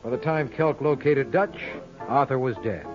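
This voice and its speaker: An actor playing a wry, quick-witted American lawyer sleuth in an old-time radio detective drama, with slangy, folksy wisecracks. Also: male voice, mid-range voice, lightly acted. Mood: explaining. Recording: dull old radio recording with music.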